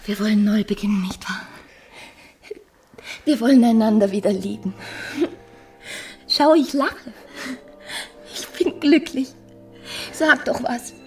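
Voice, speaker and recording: Husky, desperate woman. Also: a little high, slow pace, long pauses, soft background music. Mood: forced happiness. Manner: theatrical, intense, swinging between whisper and outburst. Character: desperate, ruined married woman pleading with former lover. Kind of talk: radio drama acting.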